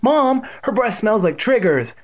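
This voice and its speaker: A man's voice: high-pitched, sing-song, normal speed, voice pushed up. A man voicing a miserable young boy in a storybook, whining and pleading. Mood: embarrassed, pleading. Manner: wailing, pleading. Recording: dull lo-fi audio.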